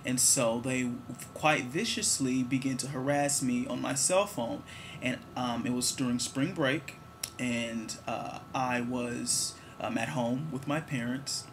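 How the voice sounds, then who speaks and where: A man's voice: mid-range voice, fairly even intonation, takes it slowly, noisy background. A man in his twenties, answering viewer questions in a candid, rambling, joking way. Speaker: vlogger. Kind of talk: casual talk to camera.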